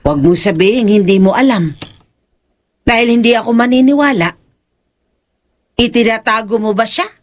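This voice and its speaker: A woman's voice: a little high, normal speed, long pauses, slight background noise, natural voice. A woman acting as a suspicious, persistent older aunt in an audio horror drama, questioning insistently. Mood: accusing.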